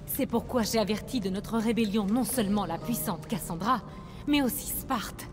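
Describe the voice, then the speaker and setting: A woman's voice: mid-range voice, normal speed, natural voice. A woman voicing a proud, shrewd rebel leader in a video game, with an earnest, firm and persuasive delivery. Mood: grave, resolute. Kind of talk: video game voice acting in French. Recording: game dialogue over loud music.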